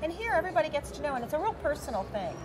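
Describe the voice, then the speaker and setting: A woman's voice: high-pitched, sing-song, very fast. A middle-aged woman, an expo organizer answering interview questions with lots of fillers. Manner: talkative, many fillers, gestures while talking. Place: large convention center hall, indoors. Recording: busy convention hall crowd noise. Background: crowd chatter.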